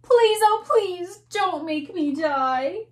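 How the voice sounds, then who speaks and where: A small, earnest storybook ant begs for his life in slow, rhyming lines, as a woman reads him aloud. The voice sits high and swoops freely, unhurried and pleading. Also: animated, darkish tone, exaggerated voice. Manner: pleading, slow and sing-song rhyme.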